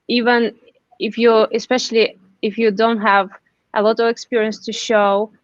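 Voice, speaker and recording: A woman presenting job-search tips on a webinar, earnest and halting, with many restarts and fillers. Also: mid-range voice, no echo. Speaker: webinar panelist. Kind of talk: slide presentation. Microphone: computer mic on a video call. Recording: dull dry video call audio.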